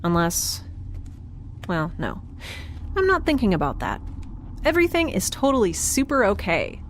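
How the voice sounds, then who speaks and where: A woman's voice: mid-range voice, wildly swooping pitch, normal speed. Nervy sarcastic young space scientist lady, chatty audio drama, swoopy intonation, bit of background hum. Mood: anxious, rambling. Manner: chatty, rambling, sarcastic, self-reassuring. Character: jittery, wry young woman scientist aboard a spaceship. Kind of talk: audio drama, naturalistic log-style narration. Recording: faint background ambience.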